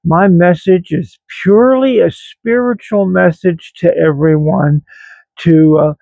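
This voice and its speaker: A man in his seventies with an American accent, talking reflectively and haltingly to online viewers in a rambling personal vlog. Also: high voice, vocal fry, dark tone, dry recording, dull audio. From grew up in West Virginia, United States. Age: 70s. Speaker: vlog host.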